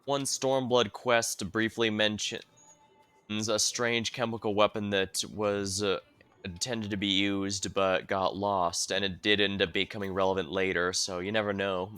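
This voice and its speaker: A man's voice: lowish voice, little pitch movement, a little slow, fairly bright tone, smooth, dry recording. A man giving casual livestream commentary as he plays through a story game. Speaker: game streamer. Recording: game music behind the voice. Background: game music.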